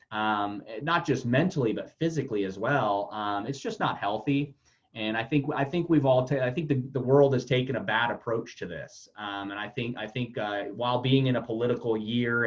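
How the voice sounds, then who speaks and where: A man hosting a casual podcast interview, rambling with many fillers and repeated words. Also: mid-range voice. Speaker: podcast host. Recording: dull lo-fi audio.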